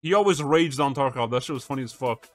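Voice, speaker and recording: A man's voice: medium pitch, quick pace, over loud music. A young man livestreaming, chatting casually and reacting to clips in slangy, swear-laden talk on a close mic. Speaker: live streamer.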